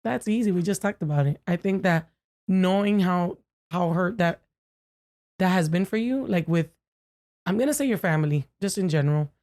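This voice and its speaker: A woman co-hosting a podcast with a deep voice, talking earnestly to a friend and often restarting her sentences. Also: darkish tone. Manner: earnest, halting with many restarts. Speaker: podcast co-host. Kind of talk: casual heartfelt chat. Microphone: podcast microphone, no camera.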